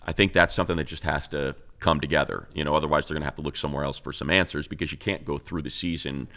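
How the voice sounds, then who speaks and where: A man's voice: very deep voice, steady tone, fast, sharp tone. A man co-hosting a sports talk show, giving casual, conversational football analysis and thinking aloud as he goes.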